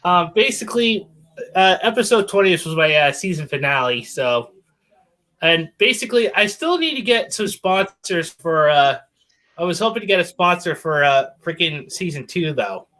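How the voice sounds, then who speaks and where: A man's voice: high voice, very animated, normal speed, sharp tone. A man hosting a casual open-chat livestream from his laptop, rambling with lots of fillers. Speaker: livestream host. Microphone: laptop mic.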